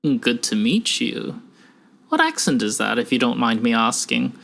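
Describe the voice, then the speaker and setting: A man voicing a slow, sinister executive. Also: mid-range voice, big pitch swings, creaky, a bit bright, heavily acted. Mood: coolly polite. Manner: slow, deliberate, coolly menacing. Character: smooth, sinister man in grey executive suit.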